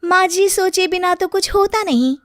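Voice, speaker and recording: A woman voicing a cheerful, cheeky young daughter-in-law in a story, replying in sing-song rhymes with drawn-out endings. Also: very high voice, a bit bright, voice pushed up. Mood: playful, cheerful.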